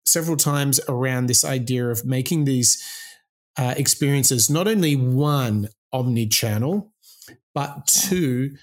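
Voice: mid-range voice, a little slow. A podcast host in casual conversation who picks up the guest's points and builds on them. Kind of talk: casual interview talk.

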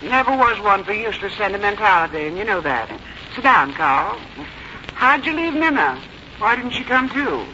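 A woman's voice: mid-range voice, big pitch swings, moderate pace, bright, forceful tone. An actress playing a stubborn, plain-spoken elderly American mother with a blunt, folksy, complaining manner in a 1950s radio drama. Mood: guarded, blunt. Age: older. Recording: noisy, muffled 1951 radio recording.

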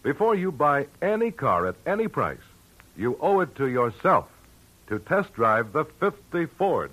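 A male announcer delivering a persuasive sales pitch in a 1950 radio commercial. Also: sing-song, measured pace, a bit bright, low-quality recording. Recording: old radio broadcast.

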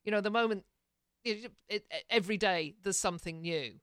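English woman, smooth dry voice. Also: mid-range voice, moderate pace, a bit bright, dry recording. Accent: English, softened by years in Canada. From England. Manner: chatty, laughs easily, hesitant with fillers. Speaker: podcast co-host. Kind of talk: casual chat.

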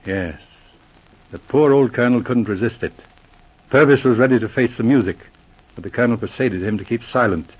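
An actor playing a brisk, no-nonsense male police inspector in a 1940s radio drama, who speaks in a crisp, commanding, matter-of-fact way. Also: medium pitch, close to an everyday voice. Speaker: lead investigator. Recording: dull lo-fi 1940s radio recording.

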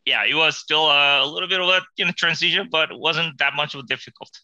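Bright-voiced man, halting speech. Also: fairly high pitch, dry recording, lo-fi sound. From Korea. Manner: halting, searching for words, chuckles often. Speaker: guest. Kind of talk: casual interview chat.

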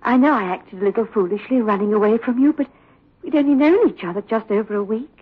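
High, breathy young sweetheart. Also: female voice, fast. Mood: bright, affectionate. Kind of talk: 1960s radio horror acting. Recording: muffled old radio recording.

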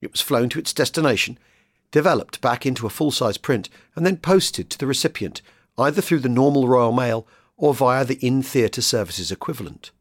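A man with a slightly husky voice reading scripted documentary narration about history. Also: medium pitch, lively intonation, normal speed, no echo, studio quality.

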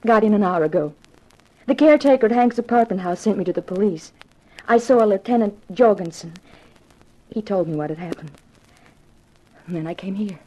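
A husky-voiced, grieving woman in a vintage radio thriller, played by an actress. She is earnest and halting yet determined, on a dull, lo-fi 1950s recording. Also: mid-range voice, dark tone, natural voice. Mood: tearful, apologetic. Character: grieving, determined younger sister of a murdered man. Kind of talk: 1950s radio drama acting.

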